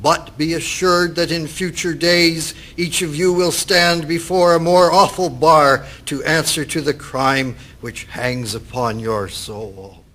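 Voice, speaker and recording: A solemn judge of the 1800s acted by a man in a radio play. He speaks very slowly in a slightly raised register, stern and formal, admonishing with gravity. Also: on the high side, fairly even intonation, sharp tone. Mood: solemn, condemning. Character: stern, solemn 19th-century judge condemning prisoners. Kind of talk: radio drama acting.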